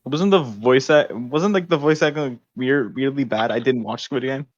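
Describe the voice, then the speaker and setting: A man co-hosting a podcast in slangy, halting casual talk full of filler words. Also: mid-range voice, muffled recording. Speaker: podcast co-host.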